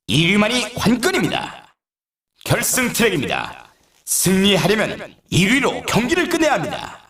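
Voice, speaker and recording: A man voicing an excitable snowboard race announcer in a video game, with polished, showy, emphatic commentary. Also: sits high, moderate pace, heavily acted. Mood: emphatic, announcing.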